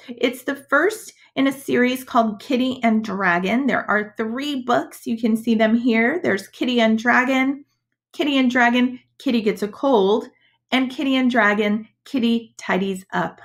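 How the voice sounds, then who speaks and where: A woman reading a storybook aloud to young children as a schoolteacher, in a deliberate, expressive manner. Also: fairly high pitch. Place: indoors, webcam screen recording.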